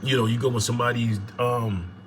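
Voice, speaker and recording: A man's voice: mid-range voice, steady tone, a little fast, faint music behind. A man, hosting a casual livestream, talking into his phone in a repetitive, preachy way and greeting chat viewers. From North New Jersey, USA. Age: 40s. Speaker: livestream host.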